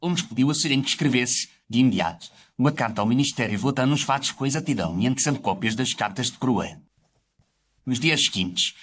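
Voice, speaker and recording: An actor playing a reflective gentlemanly doctor who narrates his memoirs in formal, literary first-person storytelling. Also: medium pitch, a little hiss or hum, lightly acted.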